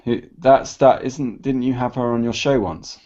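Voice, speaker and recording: A man's voice: medium pitch, moderate pace, mellow, highs cut off. A man co-hosting a casual web talk show, explaining points with dry humour. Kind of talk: casual live-stream chat.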